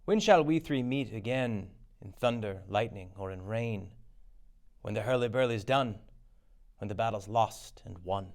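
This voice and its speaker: A man voicing sinister witches in a stage tragedy, with an incantatory delivery. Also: lowish voice. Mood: ominous chanting. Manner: slow, incantatory. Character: sinister witches chanting riddles on a heath.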